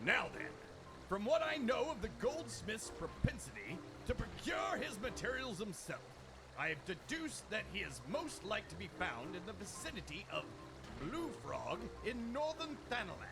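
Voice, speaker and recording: A man voicing a pompous, boastful gentleman inspector in a video game, with grandiloquent, declamatory delivery. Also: high voice, big pitch swings, moderate pace, vocal fry, exaggerated voice. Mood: boastful, grand. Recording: loud music behind. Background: game music.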